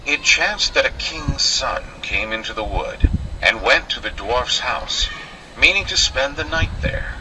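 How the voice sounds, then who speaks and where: A man's voice: mid-range voice, animated, unhurried, noisy background. A man narrating a scripted fairy tale in a dramatic, old-fashioned storytelling manner.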